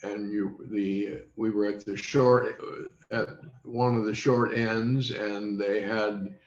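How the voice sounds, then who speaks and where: An older man with a lowish voice recalls the past at a very unhurried, halting pace. He often stops mid-sentence as he searches through memories. The sound is dull and echoes around the room, as on a video call. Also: level tone. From United States, Midwest. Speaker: interview guest recalling radio childhood. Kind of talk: unscripted reminiscence in an interview.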